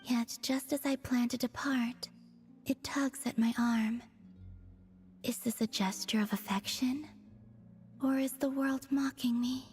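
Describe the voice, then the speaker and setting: Soft, wistful moon maiden. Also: female voice, high-pitched, fairly even intonation, big-room sound, soft background music. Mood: gentle, reflective. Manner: soft, measured, breathy, understated delivery. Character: gentle, wistful otherworldly young moon maiden, quietly deadpan. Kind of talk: video game voice acting.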